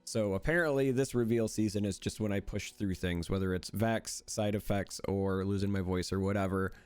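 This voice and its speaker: Man losing his voice, low and dry. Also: fairly even intonation, moderate pace, darkish tone, clear, resonant tone, dry recording, faint music behind. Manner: enthusiastic, joking, explanatory. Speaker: card game content creator. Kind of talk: casual card review commentary.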